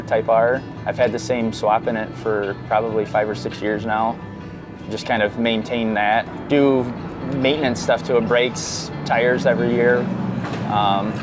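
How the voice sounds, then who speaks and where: A man race driver talks about his old car in a laid-back, offhand way. His voice sits at a middle pitch. Loud music plays behind him. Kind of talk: casual interview answers. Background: loud background music.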